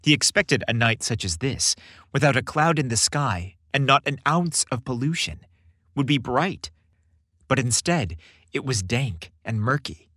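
A man narrating a scripted podcast story in an expressive, audiobook-like way. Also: mid-range voice, a little slow, husky, no echo, clean studio sound.

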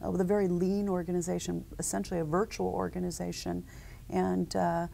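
Low, gravelly businesswoman in interview. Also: little pitch movement, warm tone, slight background noise. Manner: measured, businesslike, with frequent "uh" and "um". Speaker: company founder, interview guest. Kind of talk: conversational interview answers. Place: conference interview set, seated in armchair.

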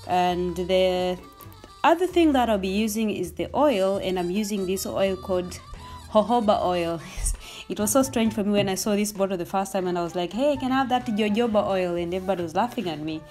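A woman's voice: mid-range voice, brisk, darkish tone, faint music behind. A young woman whose first language is Swahili, chatting in a casual hair tutorial, explaining each step and slipping Swahili words in. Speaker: hair vlogger.

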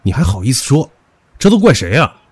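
An actor playing a hot-tempered, possessive young rich heir in an audio drama, brash and taunting. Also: male voice, medium pitch, sing-song, brisk, slightly rough, dry recording. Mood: teasing. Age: young adult. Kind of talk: Chinese audio drama acting.

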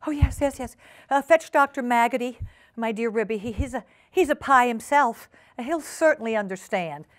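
Woman acting a high, stammering, flustered lady dog. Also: moderate pace, no room sound, close to an everyday voice. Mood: distressed. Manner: stammering, hesitant, overpolite. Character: anxious, flustered female dog guest, prone to wailing.

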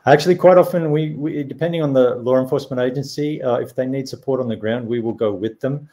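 A man answering on a video call panel, earnest and halting, chuckling at times. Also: medium pitch, warm tone. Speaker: cyber security executive panelist. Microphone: video call webcam.

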